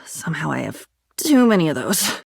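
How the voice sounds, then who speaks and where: A woman's voice: low voice, sing-song, moderate pace, vocal fry, husky, no room sound, clean studio sound, exaggerated voice. An actress playing an unhinged, dreamy woman with a volatile temper who rambles disjointedly in a video game. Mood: fearful, haunted. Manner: disjointed rambling, swinging between wistful and agitated. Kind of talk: video game character voice acting.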